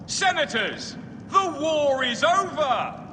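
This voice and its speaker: An actor playing a defiant, accusing Roman senator with a forceful, challenging manner in a video game cutscene. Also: male voice, extremely high pitch, big pitch swings, slow, full character voice. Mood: urgent. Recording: reverberant hall, faint background noise.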